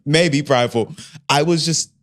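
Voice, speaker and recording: A man in his thirties co-hosting a podcast, talking in a playful, rambling way and pausing to search for words. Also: on the high side. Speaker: podcast co-host. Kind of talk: casual chat.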